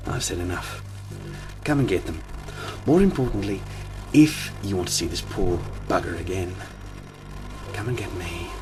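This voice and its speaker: Man acting a low, menacing villain. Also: recorded from a distance, rough recording. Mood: taunting menace. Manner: slow, gloating, deliberate menace. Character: menacing male villain taunting foes over video message. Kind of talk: fan audio drama acting.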